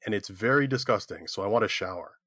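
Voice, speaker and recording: A man playing a matter-of-fact, mildly exasperated gnome adventurer with a brisk, deadpan delivery. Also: on the low side, dry recording, clean studio sound. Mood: deadpan, decisive.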